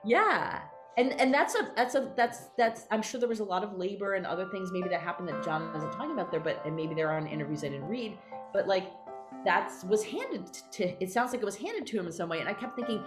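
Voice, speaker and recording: A woman's voice: mid-range voice, fairly quick, echoey room, music in the background. A woman playwright being interviewed, talking candidly and animatedly and restarting her sentences often. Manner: animated, acts out quoted voices, many restarts. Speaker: playwright guest.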